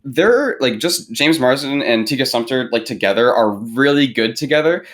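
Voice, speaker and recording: A young man with very lively intonation hosts a casual film discussion. His mid-pitched voice rambles along haltingly, circling through filler words before landing on his point. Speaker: podcast host.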